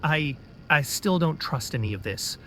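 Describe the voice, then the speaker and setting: A worried young captain who stammers out hesitant objections to his superior , as a man plays him. His voice sits slightly high, and faint noise lies beneath it in this sci-fi audio drama. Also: animated, normal speed, a bit bright. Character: doubtful young male captain.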